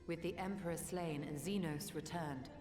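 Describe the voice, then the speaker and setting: Game role: woman as calm female strategist, formal and serious, voice ringing with long reverb over music. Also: low-pitched, moderate pace, close to an everyday voice. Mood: concerned. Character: composed, analytical female scholar-strategist in a fantasy game. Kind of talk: video game cutscene voice acting. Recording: echoey hall reverb under music.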